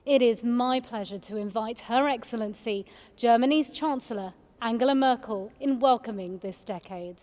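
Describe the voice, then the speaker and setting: A woman presenting a formal event from a script, introducing in measured official language. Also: fairly high pitch, darkish tone. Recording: long reverberant hall echo.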